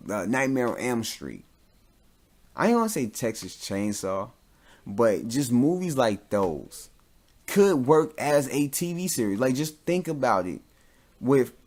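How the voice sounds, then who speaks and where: A man hosting a podcast alone, talking casually off the cuff, rambling and repeating his points for emphasis. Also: medium pitch. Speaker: solo podcast host.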